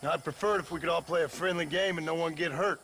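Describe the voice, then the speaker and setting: A man's voice: on the high side, quick pace, fairly bright tone, a little hiss or hum. A man playing a cool-headed outlaw gunman with an American accent in a video game cutscene, dry and terse. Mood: coolly defiant.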